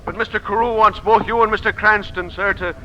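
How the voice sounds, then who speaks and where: An actor playing a rough but respectful, stubborn sailor in an old-time radio drama. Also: male voice, sits high, steady tone, brisk, lightly acted. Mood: deferential, worried. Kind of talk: 1940s radio acting. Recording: dull, noisy old radio recording.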